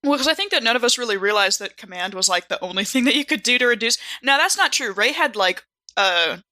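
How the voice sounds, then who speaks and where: Female podcast player, strikingly bright, forward voice, close dry sound, informal chatty delivery. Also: a little high, lively intonation, quick pace, dry recording. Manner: informal, sprinkles speech with "like". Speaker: podcast cast member and player. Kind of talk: casual chat.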